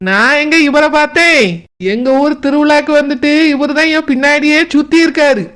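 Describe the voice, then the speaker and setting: A high-pitched old village grandmother played by a woman chats in a Kanyakumari Tamil accent. She is proud and teasing, telling stories in colloquial cartoon-comedy style. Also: steady tone, moderate pace, a bit bright. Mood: boastful, teasing. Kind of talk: comic cartoon dialogue, storytelling.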